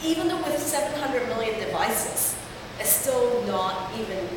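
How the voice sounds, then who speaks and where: A woman's voice: on the high side, expressive, measured pace. A woman from Hong Kong giving a conference talk in a chatty way, with many fillers and restarts. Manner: conversational, filler-heavy presenting. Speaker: conference speaker. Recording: echoey hall from far off, with noise.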